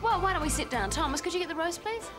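An actress playing a flustered, polite hostess at a dinner party in a sitcom. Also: female voice, extremely high pitch, animated, quick pace, over loud music. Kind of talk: sitcom acting. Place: indoor studio set, dining room.

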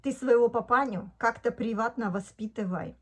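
A woman's voice: fairly high pitch, normal speed, breathy edge. A woman in her fifties from Odesa, talking in a casual, indignant video diary at home into a phone camera, breaking into laughter. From Odesa, Ukraine. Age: 50s. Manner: chatty and indignant, bursts into laughter. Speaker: vlogger. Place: living room at home, indoors. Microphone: phone camera at arm's length.

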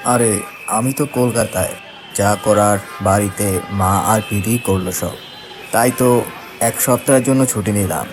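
A man playing a friendly, insistent young office worker in animated story dialogue, chatty and pressing. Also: lowish voice, measured pace, over music, natural voice.

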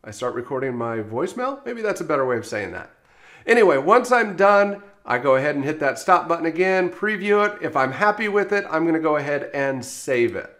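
Male software trainer, slightly higher register, explanatory step-by-step delivery for instructional screencast narration. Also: very animated, a little fast.

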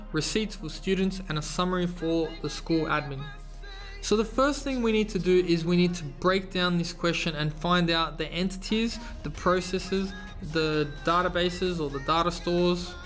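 A man hosting a screen-recorded tutorial, explaining step by step in a relaxed way with casual fillers. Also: high-pitched. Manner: relaxed, explanatory, frequent fillers like "you know". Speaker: tutorial host. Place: voice-over over slides.

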